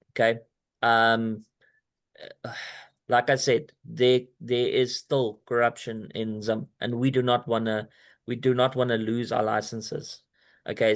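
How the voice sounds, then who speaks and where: A man hosting a company video call, talking in a loose, rambling way and often restarting his sentences. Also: medium pitch. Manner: rambling, restarts often, talks straight to the listener. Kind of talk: casual pitch on a video call.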